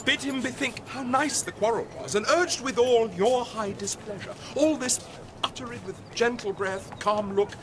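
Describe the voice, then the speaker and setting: An agitated young gentleman of classical radio drama, his voice very high-pitched. He declaims verse urgently and loyally, with a crowd clearly heard behind him. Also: normal speed. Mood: earnest, pleading.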